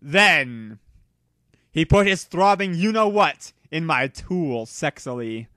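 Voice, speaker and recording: A man reading a story aloud in a theatrical, exaggerated way, with a bright, forceful voice. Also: a little high, lively intonation, slow pace, no echo, studio quality. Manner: theatrical, exclamatory delivery with exaggerated emphasis. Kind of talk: dramatic reading of fan fiction.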